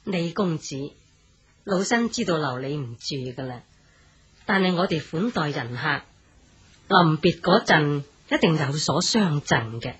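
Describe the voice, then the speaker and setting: A dignified, generous old noblewoman in a Cantonese period radio drama with a Hong Kong accent. Her voice is low and very husky, her manner gently commanding. The recording is slightly dull. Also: expressive, unhurried, exaggerated voice. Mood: gracious. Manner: stately, formal, gently commanding.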